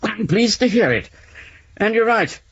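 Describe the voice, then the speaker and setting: A husky, flippant English novelist. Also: male voice, sits high, big pitch swings, unhurried, a bit bright, exaggerated voice. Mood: wry, reminiscing. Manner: wry, verbose, urbane, mock-courteous. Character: flippant, theatrical male novelist, ageing former communist. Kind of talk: 1970s radio drama acting. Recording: dull lo-fi 1970s radio recording.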